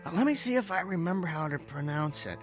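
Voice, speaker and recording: A man acts a nerdy, browbeaten engineer husband who is eager to keep the peace. He speaks hesitantly, with stammers and very animated pitch. It is a radio drama scene with light music underneath. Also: fast talker, close to an everyday voice. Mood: mild, appeasing. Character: meek, henpecked, geeky engineer husband.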